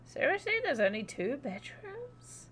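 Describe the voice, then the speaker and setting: A woman's voice: sits high, wildly swooping pitch, measured pace, a bit bright, a little hiss or hum. A woman livestreaming a game, chatting casually in an informal, rambling, sweary way. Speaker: live streamer.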